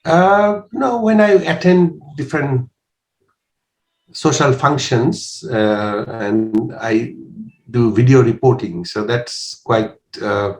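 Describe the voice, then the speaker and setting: A man in his sixties from Bangladesh, answering interview questions in a measured, hesitant way with frequent pauses. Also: medium pitch. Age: 60s. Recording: roomy echo.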